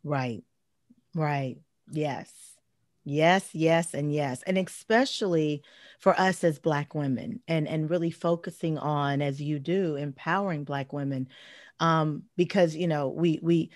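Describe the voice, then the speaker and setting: A woman in her forties with a heavy, deep voice, telling stories in an emphatic, animated way as a guest in a casual interview. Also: a little slow, no room sound, studio quality. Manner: emphatic, animated storytelling with repeated phrases. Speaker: guest speaker and life coach.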